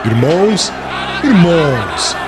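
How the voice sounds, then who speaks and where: A man's voice: on the high side, big pitch swings, takes it slowly, a crowd in the background. A man voicing a serene, valiant apostle who exhorts his brethren with solemn fervor in a dramatized audiobook. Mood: fervent, exhorting. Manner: solemn, fervent exclamation.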